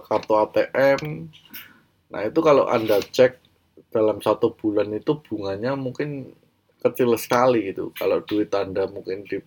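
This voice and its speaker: Low-voiced Indonesian man with a cold. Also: a little mellow. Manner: explanatory, checks in with short tags. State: congested with a mild cold. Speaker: presenter of an educational banking channel. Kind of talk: informal slide lecture.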